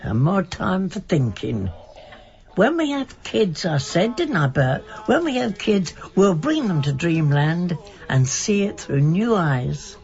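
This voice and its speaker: A woman's voice: lowish voice, very animated, takes it slowly, gravelly, darkish tone, soft background music, full character voice. An actress playing an elderly, sentimental wife in a radio drama, chatty, wistful and insistent about keeping her things. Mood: wistful, nostalgic. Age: older. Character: stubborn, sentimental old wife clinging to memories and belongings. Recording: dull, band-limited radio broadcast recording.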